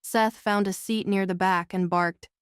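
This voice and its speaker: A woman's voice: medium pitch, steady tone, unhurried, clean tone, dry recording, clean studio sound. A woman reading scripted first-person novel narration as an audiobook narrator.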